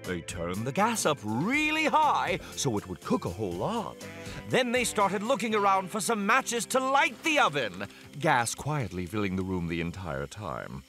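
A man playing an excitable, silly storybook narrator for a children's cartoon, with a playful, exaggerated, mock-dramatic delivery. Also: fairly high pitch, a little slow, faint music behind, exaggerated voice.

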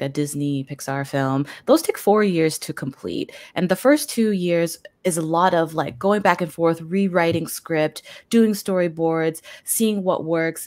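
A woman's voice: on the low side, dry recording. A woman storyboard artist explaining animation work casually, with many likes. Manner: casual, says like a lot. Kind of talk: casual explanation on a video call. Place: room full of plush toys.

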